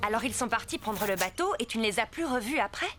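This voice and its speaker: A woman's voice: a little high, expressive, fast talker, sharp tone, soft background music. An actress playing a worried young woman in a French cartoon dub.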